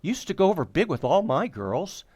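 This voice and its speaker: A man acting a bumbling, forgetful, excitable husband and father in an old-time radio comedy, with a flustered, stammering delivery. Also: high-pitched, normal speed, breathy edge, dry recording, exaggerated voice. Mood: puzzled. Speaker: amateur actor.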